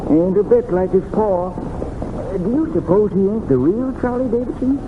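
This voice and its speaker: An actor playing an earnest, suspicious American cowhand in an old-time radio western. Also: male voice, sits high, expressive, moderate pace, narrow, tinny audio. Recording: noisy, phone-thin old radio recording.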